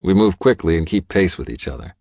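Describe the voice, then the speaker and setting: Low male voice reading a dramatic audiobook in first person, very dry sound. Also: expressive, a little fast, vocal fry, a little mellow, dry recording. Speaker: audiobook narrator.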